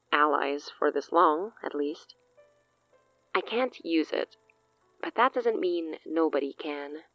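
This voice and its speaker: An actress playing a grandiose, amused, long-lived sorceress in a horror audio drama, speaking eloquently and theatrically with hushed asides. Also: female voice, low voice, no room sound, highs cut off. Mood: wry, troubled.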